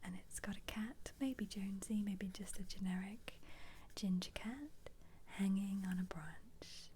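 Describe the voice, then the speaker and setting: A woman speaking in a soft, breathy, mostly whispered ASMR style, chatting casually and gently as she muses aloud over what she is looking at. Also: mid-range voice, unhurried, noisy background. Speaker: ASMR video creator. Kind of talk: ASMR, soft-spoken casual book flip-through commentary.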